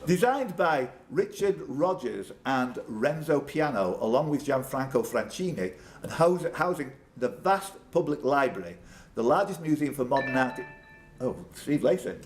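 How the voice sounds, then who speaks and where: Lively male quiz host, noisy room. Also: mid-range voice, normal speed. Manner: jokey banter with contestants; reads questions aloud, then chats off the cuff. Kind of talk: live pub quiz hosting. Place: indoors at a pub quiz event. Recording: background noise in a pub room.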